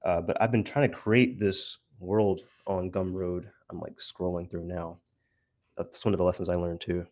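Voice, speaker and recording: A man giving a casual, rambling audio log as a solo creator, haltingly searching for words with self-deprecating asides. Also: low voice, little pitch movement, a little mellow, no room sound.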